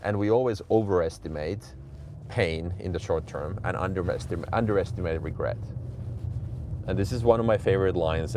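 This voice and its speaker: A Finnish man in his forties, chatting candidly and giving motivational talk on a live stream from his car. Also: sits low. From Finland. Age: 40s. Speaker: livestream host. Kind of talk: casual live-stream chat, reading chat aloud. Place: car, driving to his office. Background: vehicle noise.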